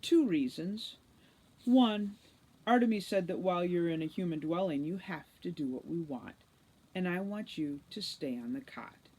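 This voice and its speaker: A woman's voice: on the low side, animated, moderate pace, warm tone, breathy edge, rough recording. A woman reading a storybook, voicing a vain, gushing, teasing teenage girl captor.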